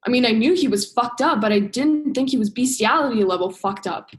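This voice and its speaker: Woman acting an earnest, higher-voiced young woman. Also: fairly quick, natural voice. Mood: disbelieving. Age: young adult. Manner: direct, emotional. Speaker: drama student actor. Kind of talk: radio play acting.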